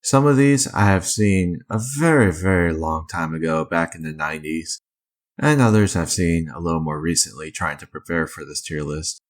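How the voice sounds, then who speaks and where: A man's voice: low-pitched, moderate pace. A man hosting a casual review commentary, talking informally and offering his opinions as he goes. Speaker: video host ranking films.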